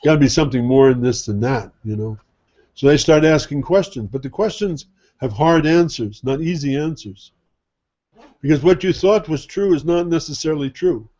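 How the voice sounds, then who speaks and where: A man delivers a loose, conversational sermon, pitched a little high for a man but with a soft, mellow tone. He asks rhetorical questions as he teaches. The recording sounds dull and lo-fi. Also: normal speed. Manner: conversational, rhetorical questions, mimics others' attitudes. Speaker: Sufi sheikh teaching. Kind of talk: informal spoken sermon.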